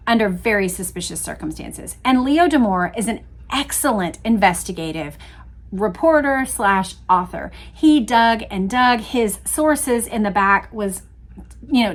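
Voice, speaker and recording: A woman host reading aloud from a book and commenting casually, in a conversational, explanatory way to her listeners. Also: mid-range voice.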